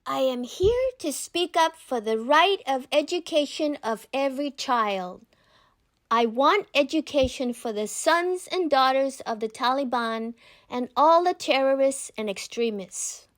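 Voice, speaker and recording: Woman voicing an emphatic, high-pitched teenage girl activist. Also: animated. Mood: earnest, resolute. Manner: emphatic, declamatory, measured. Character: earnest, determined teenage girl activist giving a speech.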